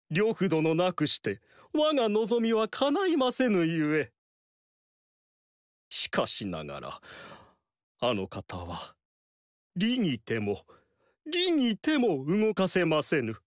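An actor playing a vain male military strategist in a game, boastful and theatrical, with dialogue that swings between loud pride and soft slyness. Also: high-pitched, no echo, clean studio sound, full character voice. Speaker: game character voice. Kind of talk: Japanese game dialogue acting. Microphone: dry studio mic.

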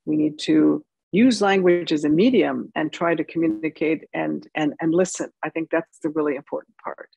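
An older woman from the United States answering documentary interview questions over a video call in a measured, emphatic way. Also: sits low, steady tone, gravelly, mellow, husky, no room sound, poor audio.

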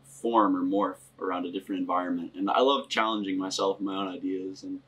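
Young guy, a bit raspy, earnest and halting. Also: deep voice, steady tone, fairly bright tone, low-quality recording. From Grand Rapids, Michigan, US. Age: young adult. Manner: earnest, searching for words. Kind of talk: casual sit-down interview. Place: wood-paneled cabin living room.

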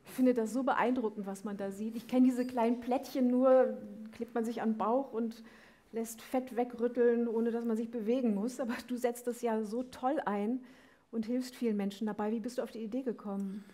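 Higher-pitched, mellow-voiced woman interviewer. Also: steady tone, moderate pace. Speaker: talk show host. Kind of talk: conversational interview question. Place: indoor stage studio.

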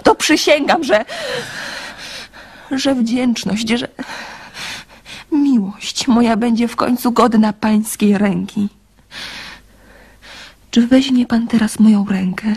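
An actress playing a tender, heartbroken young woman in a radio play, pleading haltingly with a breathy voice. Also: on the high side, darkish tone, full character voice. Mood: tearful, tender. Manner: halting, pleading, emotional delivery. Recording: radio drama with music underneath.

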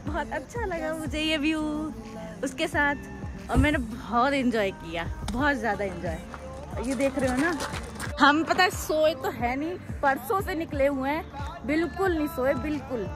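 A young woman chatting excitedly on a travel vlog at a hill viewpoint. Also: high-pitched, moderate pace. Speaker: vlog companion. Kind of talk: casual vlog chat. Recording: music over outdoor vlog audio.

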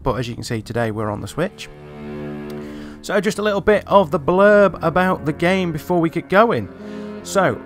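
A man hosting a gameplay video, commenting and reading aloud in voice-over as a reviewer. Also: on the high side. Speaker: video game reviewer and host. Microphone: voice-over recorded over gameplay. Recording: music behind the voice.

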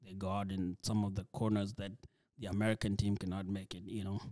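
Soft-spoken man, flat low voice. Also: no room sound, studio quality. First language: Dinka. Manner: soft-spoken, halting, many fillers and restarts. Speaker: ministry leader, guest. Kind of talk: church interview conversation.

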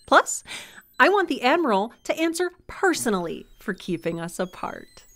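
Woman as an unflappable, lively-voiced CIA officer. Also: fairly high pitch, moderate pace. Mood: determined, affectionate. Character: warm, unflappable female CIA officer, affectionate wife. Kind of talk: audio drama acting.

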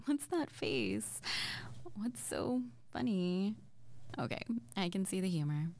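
Woman acting a slow, breathy, flustered young witch. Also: medium pitch, lively intonation, darkish tone. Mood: playful, flustered. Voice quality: breathy, often dropping to near-whisper. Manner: hesitant, stammering, giggly. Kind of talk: intimate girlfriend roleplay ASMR audio.

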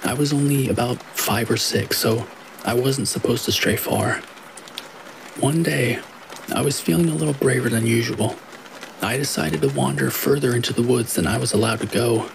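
A man's voice: medium pitch, normal speed, gravelly. A man narrating scary stories in a scripted storytelling read, with rain falling behind him. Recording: steady rain noise behind the voice.

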